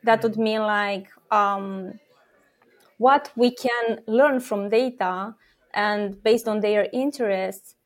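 A woman's voice: medium pitch, fairly even intonation, takes it slowly. Woman explaining earnestly with fillers. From Romania. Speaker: startup co-founder and product officer guest. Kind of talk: interview answers.

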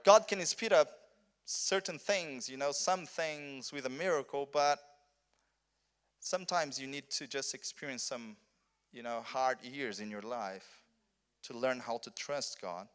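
A man with a Brazilian accent preaches a conference sermon in English, his second language. He is earnest and keeps restarting sentences and repeating words. His voice sits at an ordinary middle pitch. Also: measured pace, fairly bright tone, smooth. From southern Brazil. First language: Portuguese. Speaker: pastor.

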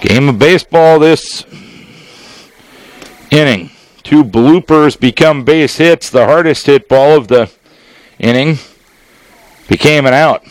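American man calling baseball, higher-pitched voice. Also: takes it slowly, long pauses, no echo. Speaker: baseball radio play-by-play announcer. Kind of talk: live sports play-by-play commentary.

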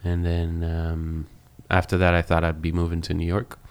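A man from Israel, a musician, chatting easily as a podcast guest at a friend's home, agreeable and quick to laugh. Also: low voice, monotone, a little mellow. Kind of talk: casual interview chat. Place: host's home.

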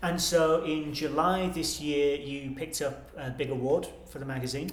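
A male host with a fairly high voice, asking questions and nudging his guest along. The sound is echoey and roomy. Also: fairly even intonation, normal speed. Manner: inquisitive, prompting. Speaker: interviewer, podcast host. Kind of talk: interview questions.

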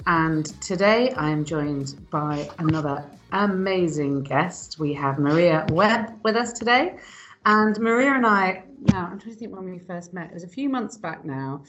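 A woman's voice: lowish voice, lively intonation, measured pace, lo-fi sound, music in the background. A woman hosting a podcast, effusive and rambling, with many ums and repeated words. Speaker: podcast host. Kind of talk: podcast interview.

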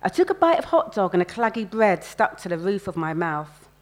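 A woman's voice: medium pitch, very animated, fairly quick. A woman, an author reading first-person fiction aloud expressively at a live event.

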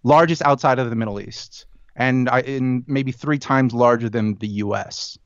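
Man with a smooth, dry, dull voice. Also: mid-range voice, no echo. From born in the US, raised in Chile. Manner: thoughtful, halting, many ums and restarts. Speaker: podcast guest. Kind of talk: casual conversational interview.

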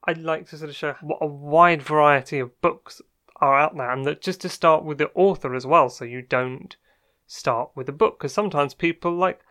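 A man co-hosting a podcast in a small homemade studio, chatting casually with a hesitant, halting delivery. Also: on the high side, pushed and bright. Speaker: podcast co-host.

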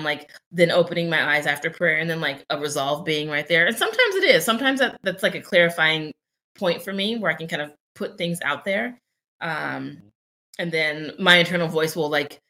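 A young woman co-hosting a chatty podcast, speaking in a bright, forward tone that sits slightly low. She talks candidly and thoughtfully, circling her points with fillers and restarts. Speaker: podcast co-host. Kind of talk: casual conversational podcast.

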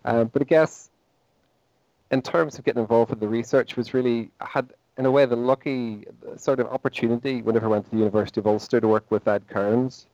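A man's voice: mid-range voice, fairly even intonation, fast talker, mellow, no room sound, lo-fi sound. A Northern Irish man in his forties, a professor answering interview questions in a thoughtful way, often restarting his sentences. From Northern Ireland. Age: 40s. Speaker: guest, psychology professor.

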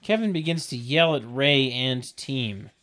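A man's voice: medium pitch, lively intonation, measured pace, fairly bright tone. A man reading stage directions at a comedic table read, with wry, mocking asides.

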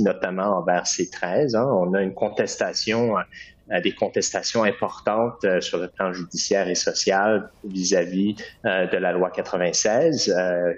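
A man's voice: deep voice, husky, lo-fi sound. A man from Quebec with a Québécois French accent, answering interview questions as a political candidate in an earnest way, with many hesitations.

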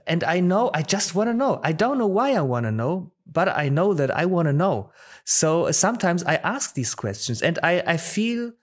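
A man, born in Poland and raised in Germany, speaking hesitantly in a casual discussion, often restarting and repeating phrases. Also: fairly high pitch. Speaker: discussion participant.

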